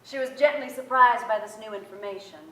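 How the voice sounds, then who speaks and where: An actress playing a gentle, shy young woman in a theatrical staged reading. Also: a little high, brisk, lightly acted. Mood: tender. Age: young adult. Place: theatre stage before an audience. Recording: echoey distant stage microphone, violin music. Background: violin music.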